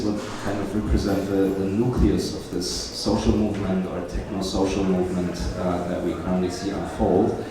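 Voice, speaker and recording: A man speaking as a venture investor on a conference panel, measured, with many "um" pauses. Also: sits low. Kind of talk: casual panel talk. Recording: echoey hall, distant mic, clear hum.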